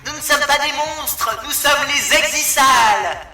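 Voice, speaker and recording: Silly game bear cub mascot, squeaky high, sharp, distant echoey recording. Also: male voice, slow, soft background music, exaggerated voice. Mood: bossy, showy. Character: childish mischievous robot bear cub, bossy and silly. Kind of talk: amateur French video game dub.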